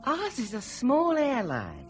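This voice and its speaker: An actress playing a discreet airline crew woman confiding carefully in a radio drama, with a measured manner. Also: on the high side, huge pitch swings, faint music behind, heavily acted.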